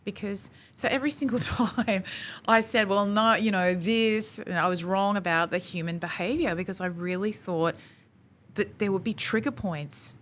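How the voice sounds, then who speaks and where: An Australian woman in her forties talking in a casual podcast conversation, animated and acting out her own quotes. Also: medium pitch, moderate pace. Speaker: podcast guest.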